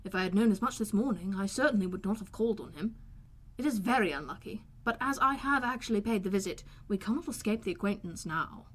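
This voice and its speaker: A woman voicing a calm, sardonic middle-aged father in an audiobook, teasing in a measured, wordy, deadpan way. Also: mid-range voice, breathy edge. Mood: wryly amused. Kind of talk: audiobook character voice.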